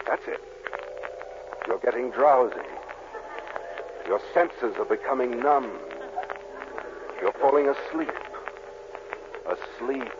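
An actor playing a psychiatrist who hypnotizes in a slow, soothing drone, in a 1940s radio comedy. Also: male voice, mid-range voice, natural voice. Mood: droning. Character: slow, droning male hypnotist doctor. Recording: lo-fi 1940s radio broadcast with hiss.

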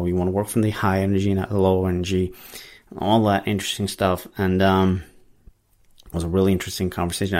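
Man, very low voice, non-native English speaker, podcast host, casual rambling solo talk, lots of fillers. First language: not English. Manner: relaxed, rambling with many fillers and restarts.

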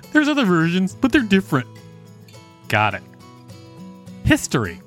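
A man's voice: sits high, fairly bright tone. A man hosting a podcast, reading an encyclopedia article aloud with joking, informal commentary. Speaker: podcast host.

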